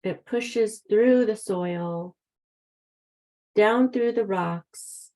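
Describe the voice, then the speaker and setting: Woman with a mellow voice, very slow and soothing, leading a grounding meditation. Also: mid-range voice, rough recording. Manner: soft, soothing, unhurried. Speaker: meditation guide. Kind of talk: guided meditation.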